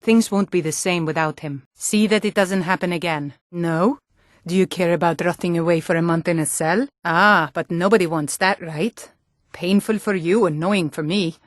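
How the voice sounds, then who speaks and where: A woman's voice: fairly low pitch, normal speed, dry recording, clean studio sound, close to an everyday voice. A woman playing a plain-spoken, sturdy Nord townswoman and guard in a fantasy video game, with a firm, direct manner. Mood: grieving, subdued.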